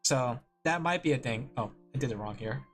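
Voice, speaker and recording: A man's voice: mid-range voice, animated, a little fast, a bit bright, faint music behind. A man streaming as a vtuber, chatting casually with his viewers while drawing, rambling, laughing often and putting himself down.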